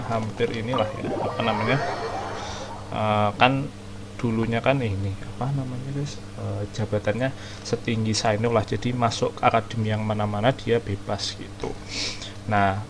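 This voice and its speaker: A man's voice: lowish voice, background noise. A young man with an Indonesian accent, rambling chattily as he recaps a game story for viewers. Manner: rambling, chatty, many fillers. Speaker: gaming streamer. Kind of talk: casual let's-play commentary. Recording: voice over loud game music.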